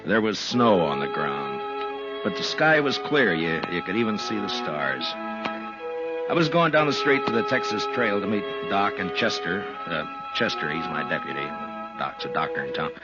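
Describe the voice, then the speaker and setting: A deep, expressive male voice as a modest frontier marshal in a vintage radio western. He talks in a plain, easygoing, hesitant way, on a dull old recording with music. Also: normal speed. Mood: warmly reminiscing. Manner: conversational, hesitant, plain-spoken. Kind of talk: 1950s radio western acting and narration.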